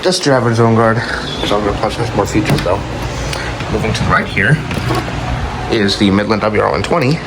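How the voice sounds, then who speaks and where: Low-voiced man rambling casually over loud noise. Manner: rambling, offhand, self-correcting. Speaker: hobbyist collector showing weather radios. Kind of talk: casual unscripted show-and-tell narration.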